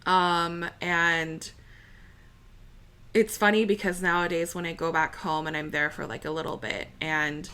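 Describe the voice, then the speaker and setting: Slow, bright-toned young woman chatting. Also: on the low side, smooth. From United States. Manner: relaxed and chatty, many fillers, laughs easily. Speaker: interview guest, opera singer. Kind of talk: casual conversational interview.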